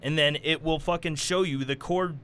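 A man's voice: on the high side, fairly even intonation, moderate pace, fairly bright tone, clean tone. A man guesting on a podcast, talking in a laid-back way and swearing freely about practicing music. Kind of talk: casual chat.

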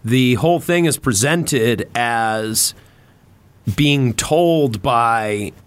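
A man with a mid-pitched voice hosts a relaxed, casual conversation. He feels his way through sentences, hesitating and rewording as he rambles. The sound is very dry, with hardly any room in it. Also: extremely slow, clean tone, no echo. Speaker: podcast host. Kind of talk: casual chat.

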